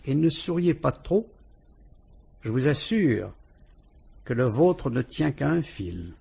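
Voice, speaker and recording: An actor with a French accent playing a calm, shrewd police inspector who politely and ironically probes a suspect in a vintage radio drama. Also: male voice, medium pitch, creaky, husky, full character voice. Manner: measured, probing, politely ironic.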